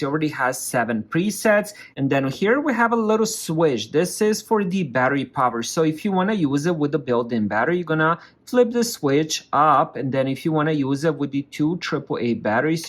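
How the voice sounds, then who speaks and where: A man's voice: on the high side, fairly bright tone. Man casually walking through a product. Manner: plain explanatory, step by step. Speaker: product reviewer. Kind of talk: casual product review, hands-on walkthrough.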